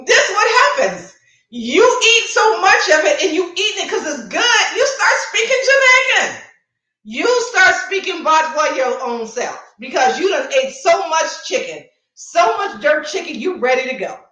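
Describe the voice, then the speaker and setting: Woman livestream host, chatty and playful, high voice, roomy echo. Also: big pitch swings, moderate pace, bright, forceful tone. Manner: chatty, playful, addresses viewers directly. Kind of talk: casual livestream chat with promotions.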